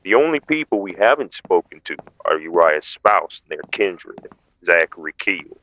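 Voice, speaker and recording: Male actor as vampire hunter for audio roleplay: low voice, weary, businesslike, dull recording. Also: very animated, moderate pace, slight background noise. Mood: frustrated. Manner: matter-of-fact, weary reporting. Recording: dull, muffled lo-fi sound.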